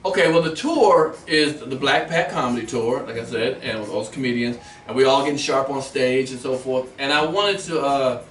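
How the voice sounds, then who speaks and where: A man, a comedian guest, telling profane, animated stories in a casual radio interview. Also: medium pitch, a little hiss or hum. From grew up in Germany, then Washington DC. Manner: animated, profane, quick storyteller. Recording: roomy, distant mic with faint ambience.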